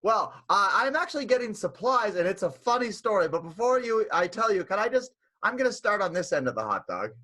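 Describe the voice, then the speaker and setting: Eager, oblivious, clingy vaudeville chap, played by a man: raspy, high, lively swoops, radio comedy. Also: fairly quick, hardly any pauses, exaggerated voice. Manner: gushing, eager. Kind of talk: improvised radio soap opera acting.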